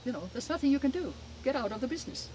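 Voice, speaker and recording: She is a woman in her seventies with a Finnish accent. She answers interview questions as personal testimony, leaning hard on words and breaking up her phrases. Clear outdoor noise sits behind her. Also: sits high, lively intonation, very fast, mellow. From Finland. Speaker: interview guest. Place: outdoors in a garden.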